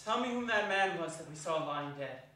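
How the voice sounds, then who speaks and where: A man's voice: fairly high pitch, lively intonation, fairly quick, pushed and bright. A man playing a frightened, repentant old miser, pleading urgently in a theatrical stage reading. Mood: urgent questioning. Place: arts centre hall, stage reading. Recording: echoey hall, far from the mic.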